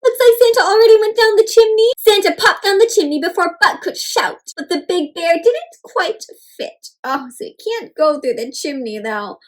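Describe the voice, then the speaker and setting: Super expressive high voiced lady reading a picture book to kids, asks lots of questions, silly sound effects. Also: moderate pace, few pauses. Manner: animated, exclaiming, questioning listeners, playful sound effects. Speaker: read-aloud host for children. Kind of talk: interactive children's storybook read-aloud.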